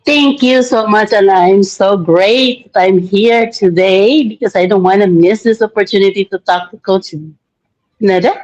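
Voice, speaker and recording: A woman's voice: mid-range voice, a little slow, darkish tone. A woman from the Philippines with a Filipino accent, casually introducing herself on a livestream. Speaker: livestream participant. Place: vacant room at workplace. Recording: dull lo-fi livestream audio.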